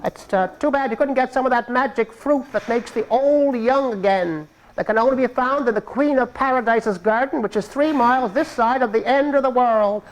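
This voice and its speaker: A man's voice: extremely high pitch, moderate pace, few pauses, voice pushed up, rough recording. A man voicing an old blind basket seller who cries his wares and slyly drops advice. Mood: hawking, sly. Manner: hawking cries, creaky and sly. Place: stage before a live audience.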